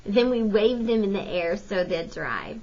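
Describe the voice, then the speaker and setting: A woman reading a folksy first-person novel aloud as expressive storybook narration, like a teacher. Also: medium pitch, unhurried, lo-fi sound.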